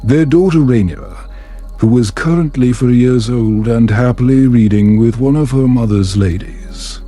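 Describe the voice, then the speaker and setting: A man reading a scripted bedtime story as a narrator, in a calm, measured, soothing way. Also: medium pitch, soft background music.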